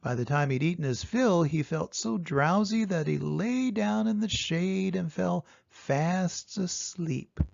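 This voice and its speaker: Animated older man reading a storybook. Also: high-pitched, takes it slowly, vocal fry, few pauses, no echo, muffled recording. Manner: expressive, dramatic emphasis on sound words. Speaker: storyteller reading aloud to children. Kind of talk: animated children's storybook narration.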